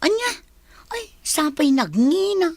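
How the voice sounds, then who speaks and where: A woman's voice: very high voice, sing-song, takes it slowly, no room sound, heavily acted. A woman playing a young working daughter in an audio drama, plaintive and grumbling, with an Ilocano accent. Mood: dismayed. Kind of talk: Ilocano radio-style drama acting.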